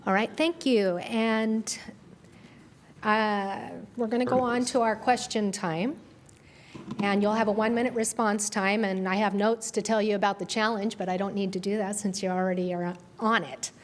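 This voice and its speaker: A woman's voice: medium pitch, fairly even intonation, normal speed, a little hiss or hum. A woman moderating a candidate forum, giving brief, orderly prompts.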